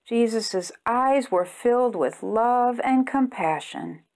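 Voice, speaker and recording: A woman reading a storybook aloud to young children in an expressive storytime style. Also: fairly high pitch, slow pace. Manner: expressive, simple phrasing aimed at young children. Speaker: children's storytime reader and host.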